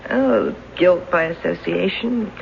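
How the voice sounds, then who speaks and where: An actress playing a worried, soothing wife in a 1970s radio drama. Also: female voice, fairly low pitch, slow pace, gravelly, full character voice. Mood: troubled. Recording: muffled, hissy 1970s radio recording.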